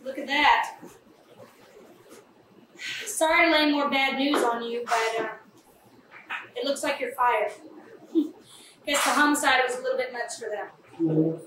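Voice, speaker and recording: A woman's voice: high-pitched, takes it slowly, pauses often. A young woman playing a glib, manipulative, callous woman with a morbid streak in a theatrical staged reading. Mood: flippant. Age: young adult. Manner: animated, flippant, theatrical. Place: small café, live staged reading. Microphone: far camera. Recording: echoey, distant camera mic, faint noise.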